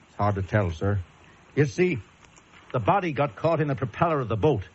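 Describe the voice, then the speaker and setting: An actor playing a plain-spoken, courteous police officer with a folksy, hesitant manner in a suspense radio drama. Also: male voice, medium pitch, animated, fairly quick, breathy edge. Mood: solemn, apologetic. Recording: muffled radio recording, music behind.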